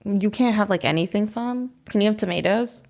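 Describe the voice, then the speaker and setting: A woman's voice: medium pitch, fairly quick, gravelly, a little mellow. A woman co-hosting a podcast in casual chat, blunt and teasing, swearing freely. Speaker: podcast co-host.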